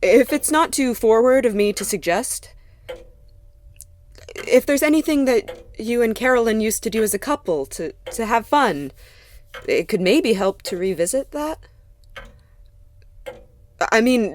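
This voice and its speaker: An actress playing a wry, guarded woman in a naturalistic audio drama, talking haltingly and gently. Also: medium pitch, close to an everyday voice. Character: Sophie, warm, wry, guarded woman mechanic.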